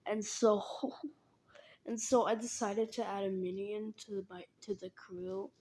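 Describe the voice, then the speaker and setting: A young woman who speaks haltingly, often repeating and restarting her words, as she talks casually to her viewers. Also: mid-range voice, fairly even intonation, dark tone, husky. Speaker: animation creator. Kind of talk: casual vlog appeal.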